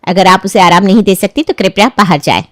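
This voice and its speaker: A woman's voice: mid-range voice, fairly quick, dry recording, clean studio sound, lightly acted. A woman playing a protective hospital nurse in a cartoon story, politely but sternly reprimanding. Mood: firm, reproving. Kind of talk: cartoon moral-story voice acting.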